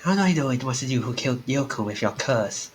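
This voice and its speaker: A man's voice: mid-range voice, expressive, fairly quick, close to an everyday voice. A man playing a suspicious, confused game protagonist with blunt, questioning speech. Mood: accusing. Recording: music under the voice.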